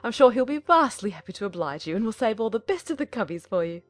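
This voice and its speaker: A woman's voice: fairly high pitch, big pitch swings, fast, dry recording, pitched above the performer's own voice. A woman performs a gushing middle-aged mother from a period novel audiobook, fussy and meddling. Her chatter races along and leaps up and down with self-important exclamations, recorded close and dry over music. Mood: officious, flattering. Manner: effusive, breathless chatter, exclaiming.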